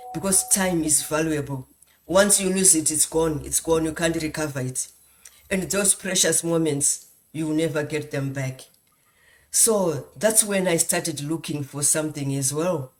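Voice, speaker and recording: A woman from Zimbabwe giving an earnest motivational talk as a guest speaker, asking rhetorical questions and stressing lists of points. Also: low-pitched. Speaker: guest speaker, nurse and business mentor. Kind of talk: motivational talk on a group call.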